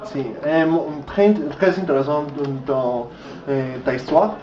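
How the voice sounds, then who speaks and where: A man's voice: on the high side, unhurried. A young man whose first language is Spanish, interviewing a guest in French and often stopping to restart his words. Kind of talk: casual interview in a learned language. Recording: dull distant echoey recording with crowd noise.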